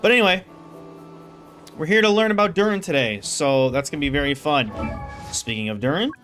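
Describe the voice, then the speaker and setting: A man's voice: fairly high pitch, animated, a little fast, fairly bright tone, faint music behind. A man giving casual, rambling game commentary, thinking aloud as he streams.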